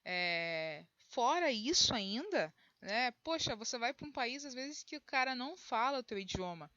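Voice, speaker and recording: A woman's voice: a little high, big pitch swings, moderate pace, sharp tone, no room sound, lo-fi sound. Brazilian woman teaching a class, dry sound. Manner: explanatory, conversational, frequent tag questions and restarts. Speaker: high school geography teacher. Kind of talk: recorded online lesson.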